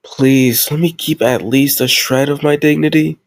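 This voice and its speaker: A man's voice: mid-range voice, fairly even intonation, normal speed, no echo, natural voice. A man playing a tough gang leader who is a playful, doting boyfriend in an intimate roleplay audio, teasing and mock-grumbling affectionately.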